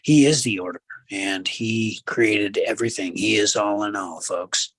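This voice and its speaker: A man co-hosting a casual talk show, giving measured commentary with preacherly asides to the listeners. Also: lowish voice, a bit bright, no echo, poor audio. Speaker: radio show co-host.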